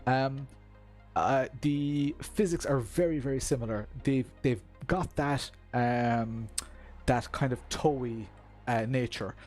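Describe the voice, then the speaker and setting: A man's voice: mid-range voice, slow pace, a little mellow, faint music behind. An Irish man chatting casually while he streams a sim race, laughing often and joking about himself. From Ireland. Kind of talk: casual live-stream chat.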